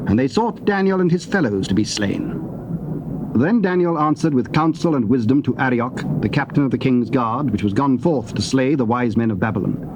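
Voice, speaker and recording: A man narrating scripture in archaic English, formal, measured and solemn. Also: mid-range voice, expressive. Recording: noisy old cassette.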